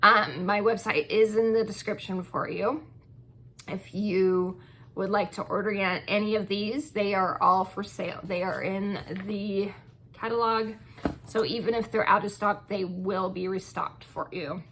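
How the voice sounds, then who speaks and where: Slow, gravelly-voiced woman reviewing fragrances. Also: mid-range voice, fairly even intonation, fairly bright tone. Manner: chatty, gushing, many ums. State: losing her voice. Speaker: channel host reviewing wax melts. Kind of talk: casual product review.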